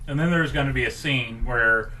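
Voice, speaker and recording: A man co-hosting a casual podcast, pitching ideas in rambling group banter. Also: moderate pace, bright, forceful tone, rough recording.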